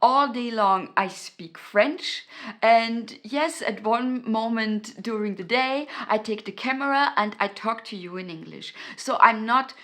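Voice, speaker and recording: A woman's voice: on the high side, unhurried, fairly bright tone. A woman from Austria, a native German speaker with an Austrian German accent, giving a motivational talk to her viewers. She speaks earnestly and searches for words, often restarting her phrases. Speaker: YouTube host.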